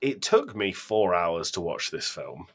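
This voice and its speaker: A man's voice: low voice, very animated, measured pace. A man co-hosting a podcast, discussing films casually with emphatic, exasperated delivery. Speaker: podcast co-host.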